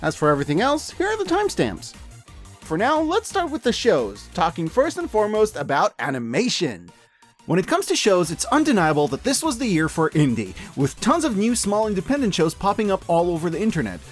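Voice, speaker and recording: Man, high voice, very lively intonation, emphatic reviewer, scripted commentary, joking asides, soft background music. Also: fairly quick. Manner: animated, emphatic, hyperbolic, jokey asides. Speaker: host reviewing animated shows and media. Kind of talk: scripted video-essay commentary.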